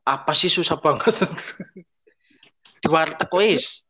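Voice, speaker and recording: A young man co-hosts a relaxed podcast, answering in short, teasing remarks. His voice sits a little high, and the recording sounds dull and lo-fi. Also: takes it slowly, breathy edge. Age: young adult. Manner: easygoing, short replies, teasing. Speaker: podcast co-host. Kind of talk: casual podcast chat.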